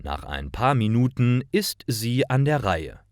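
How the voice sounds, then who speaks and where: A man narrating simple scripted stories for language learners, reading carefully in clearly phrased short sentences. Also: medium pitch, big pitch swings, unhurried, no echo, studio quality.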